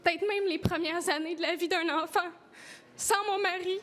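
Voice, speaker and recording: A woman's voice: very high-pitched, steady tone, normal speed, a bit bright. A woman with a Québécois accent giving an earnest, emotional personal testimony at a press conference. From Quebec.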